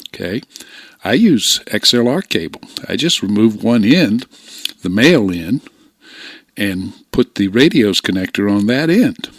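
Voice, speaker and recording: Older male hobbyist presenter, fairly deep voice, plain-spoken and informal instruction, dry close-miked sound. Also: very animated, measured pace, no room sound. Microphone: close desktop microphone, voice-over.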